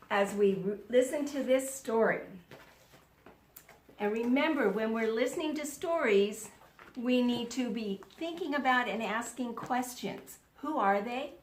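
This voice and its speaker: Lady teacher doing a read aloud for young kids, really slow and instructional, roomy echo on it. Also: fairly high pitch, slight background noise. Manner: deliberate, instructional, repeats words for pupils to echo. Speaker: elementary school teacher. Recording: roomy, echoing sound.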